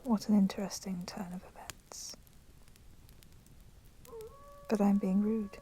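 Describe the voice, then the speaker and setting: A woman playing a polite but menacing vampire in a whispered ASMR roleplay, speaking softly and formally. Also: medium pitch, little pitch movement, a little hiss or hum, natural voice, rough recording. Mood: coolly polite. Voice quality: breathy, soft, often whispering. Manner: slow, deliberate, formally courteous. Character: polite, menacing female vampire, proud and merciful.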